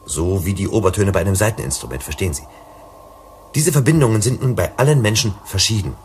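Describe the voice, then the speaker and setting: An actor playing an earnest, persuasive psychologist in a German sci-fi radio drama, explaining and pleading. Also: male voice, fairly low pitch, lively intonation, fast talker, darkish tone, slight background noise, lightly acted. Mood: calm, explanatory. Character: earnest, persuasive male company psychologist and negotiator.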